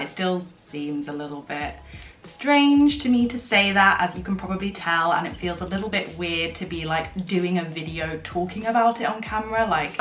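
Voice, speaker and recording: A young woman, slightly husky from a sore throat, chatting and rambling through a get-ready-with-me vlog with many fillers. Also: fairly low pitch, a bit bright. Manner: rambling, filler-heavy storytelling. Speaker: beauty vlogger. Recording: echoey room with faint music.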